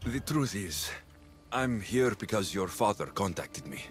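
A man's voice: lowish voice, expressive, a little slow, soft background music. A man playing a rugged mercenary hero in a video game cutscene, wry and understated. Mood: resolute.

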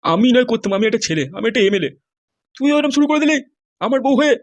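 Man voicing a rapid-fire, high-pitched furious cartoon husband. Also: expressive. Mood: bitter, scolding. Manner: scolding, swearing, accusatory. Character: furious, foul-mouthed male politician husband back from presumed death. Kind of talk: comedy cartoon dialogue.

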